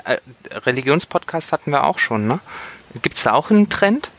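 A man's voice: a little high, very animated, normal speed, fairly bright tone, lo-fi sound. A man co-hosting a podcast in casual banter, tossing in playful, ironic quips. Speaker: podcast co-host. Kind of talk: casual banter, quipping replies.

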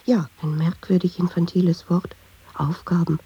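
A woman's voice: on the low side, little pitch movement, moderate pace, warm tone, slightly rough, muffled recording. An actress playing a watchful, nervous woman who muses hesitantly in an intimate radio drama monologue, dropping into half-whispers. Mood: musing, watchful. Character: watchful, nervous woman narrating her inner thoughts.

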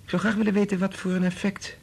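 Gentle, husky male researcher in radio drama. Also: high voice, flat delivery, fast talker, full character voice. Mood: warm, earnest. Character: gentle, earnest male researcher, considerate and puzzled. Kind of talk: Dutch radio drama acting.

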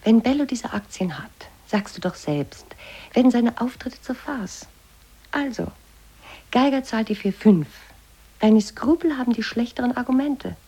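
A cool, pragmatic interviewer in a radio play. Her voice is very breathy and husky, with a dark, soft tone. She speaks tersely and keeps probing. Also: on the high side, animated, measured pace, full character voice, rough recording. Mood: persuasive. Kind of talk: 1980s German radio drama acting.

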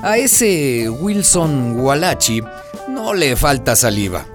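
A man's voice: medium pitch, sing-song, slow pace. An actor playing a proud widowed father who tells the story in a radio drama. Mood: wry storytelling. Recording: music under the voice.

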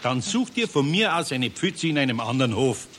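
A man with a Bavarian accent playing an older master carpenter in a radio play, good-natured, patient and gently grumbling. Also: medium pitch, very animated, fairly quick, a little hiss or hum. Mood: cautioning, resigned. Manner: homely, patient, mildly cautioning.

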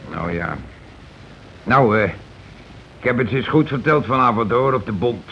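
A man's voice: mid-range voice, animated, unhurried, lightly acted. An actor in a radio play as a tipsy, blustering working-class husband and union man, blunt and colloquial, repeating what he hears. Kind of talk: naturalistic radio play dialogue. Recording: muffled, noisy old radio broadcast recording.

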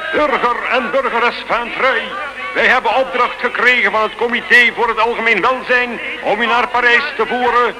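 High-voiced revolutionary envoy proclaiming. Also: male voice, fairly even intonation, moderate pace, music in the background, a crowd in the background, poor audio. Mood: stern, official. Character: stern revolutionary envoy proclaiming an arrest order. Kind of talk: radio drama proclamation.

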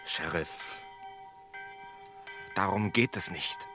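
An actor playing a resigned, calmly insistent condemned man in a 1960s German western radio drama, with a solemn, measured delivery. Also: low voice, soft background music, exaggerated voice.

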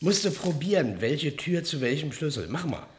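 Folksy caretaker, animated man. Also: on the high side, normal speed, a little hiss or hum. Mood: chatty, instructing. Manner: colloquial, instructing, blunt. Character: gruff, folksy male caretaker, bossy but protective. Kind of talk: naturalistic German radio play acting.

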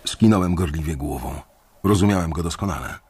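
A young bank analyst who narrates his own story in a radio play, wary and guarded. His low voice has a husky edge. He tells it in a restrained, measured way. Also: darkish tone. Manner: restrained first-person narration.

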